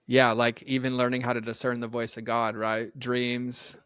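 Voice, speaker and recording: A man's voice: mid-range voice, monotone, normal speed, a bit bright, clear, resonant tone, no echo, studio quality. A middle-aged man talking earnestly and animatedly as a podcast guest, full of "like" fillers. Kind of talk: casual faith conversation.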